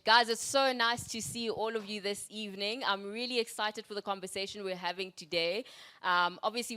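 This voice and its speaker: Woman MC, smooth clear voice, upbeat, encouraging. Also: medium pitch, a bit bright. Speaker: event MC and panel moderator. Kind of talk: moderating a live panel. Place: event venue.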